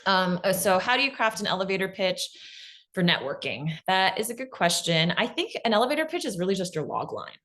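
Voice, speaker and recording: A woman's voice: medium pitch, big pitch swings, brisk, a bit bright. A woman giving an informal workshop talk and answering questions, encouraging, with lots of "like" and "right?" in her speech. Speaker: presenter answering audience questions.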